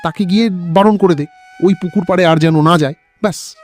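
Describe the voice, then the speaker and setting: A man's voice: sits high, a little fast, lightly acted. A man playing an irritable, cruel heir of a landlord family in a cartoon drama, scornful and dismissive. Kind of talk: Bengali cartoon drama acting. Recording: music under the voice.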